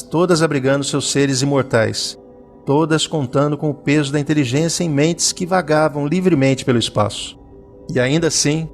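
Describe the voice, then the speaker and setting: A man's voice: fairly high pitch, vocal fry. A man narrating a scripted audio drama in a solemn, literary reading style, with music behind him.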